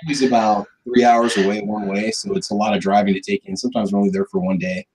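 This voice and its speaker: A man's voice: on the low side, little pitch movement, fast talker, crowd noise, rough recording. A man co-hosting a live food review stream, chatting in a laid-back, easygoing way, laughing often and trailing off. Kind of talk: casual live-stream chat.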